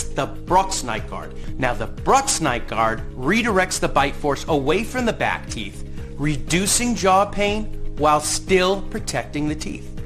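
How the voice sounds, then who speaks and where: A man delivering a scripted testimonial commercial as a pitchman.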